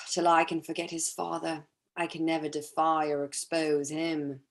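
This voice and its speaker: A woman playing a charming, aggrieved young gentleman in a staged period drama reading, confiding and theatrical. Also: sits low, monotone, a little slow. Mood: aggrieved, wounded. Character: Mister Wickham, charming aggrieved young gentleman, smoothly self-pitying.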